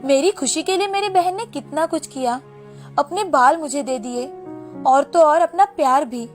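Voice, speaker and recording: Woman acting a high-voiced, emotional young bride. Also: normal speed, soft background music, lightly acted. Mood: shocked, remorseful. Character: vain, emotional young bride-to-be daughter, later remorseful.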